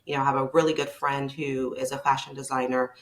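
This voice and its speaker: A woman's voice: very deep voice, level tone, fast talker. A woman from Barbados, a leadership consultant, talking as a guest in a conversational podcast interview, reflective and emphatic.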